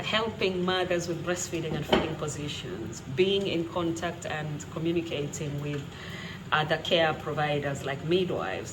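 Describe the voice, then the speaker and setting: A woman's voice: deep voice, background noise. Kenyan woman chatting, laughs often. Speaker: vlogger. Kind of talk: casual talk to camera. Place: quiet home.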